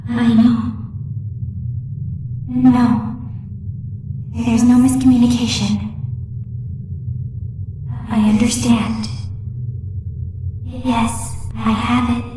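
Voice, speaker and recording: Mellow, echoing, obedient villainess. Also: female voice, fairly high pitch, level tone, extremely slow, long pauses. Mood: eager obedience. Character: obedient, secretive female villain, quietly sinister. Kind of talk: video game character dialogue. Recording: very echoey, distant, faint music behind.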